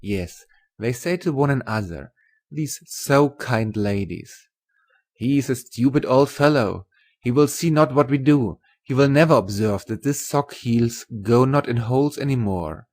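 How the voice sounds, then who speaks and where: Man playing a kindly German professor, hearty, deliberate. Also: mid-range voice, a little mellow. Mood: earnest, grateful. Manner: hearty, deliberate, broken English. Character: kindly, earnest middle-aged German male professor. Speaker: voice actor in dramatic audiobook. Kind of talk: dramatised literary audiobook dialogue.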